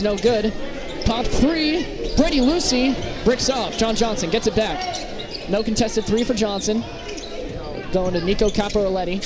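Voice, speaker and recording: A man doing live basketball play-by-play as the announcer, in short, clipped calls. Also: high voice. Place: press booth at an indoor basketball game. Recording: very loud crowd and gym noise. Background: loud crowd, bouncing basketballs.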